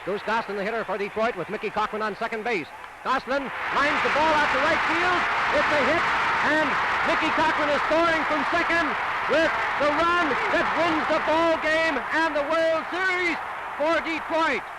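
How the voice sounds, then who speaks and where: High-pitched 1930s American newsreel baseball announcer, crowd roaring. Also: male voice, fairly even intonation, normal speed. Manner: emphatic, declamatory delivery in short punchy phrases. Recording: noisy 1930s optical newsreel soundtrack, crowd roar. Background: stadium crowd noise.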